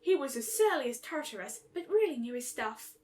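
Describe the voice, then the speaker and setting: A woman voicing a gentle, caring female winged pony in a storybook reading. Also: high-pitched, animated, brisk. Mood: earnest, helpful.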